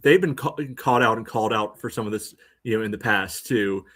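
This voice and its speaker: Lower-pitched man in casual podcast talk. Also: brisk, fairly bright tone. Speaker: podcast co-host. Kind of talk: casual sports podcast chat.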